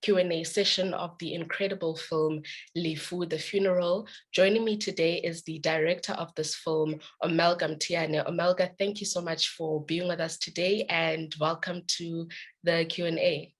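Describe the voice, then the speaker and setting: A woman moderates a film festival Q&A in a rather low voice. She speaks in measured, prepared phrases and eases between points with soft fillers. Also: steady tone.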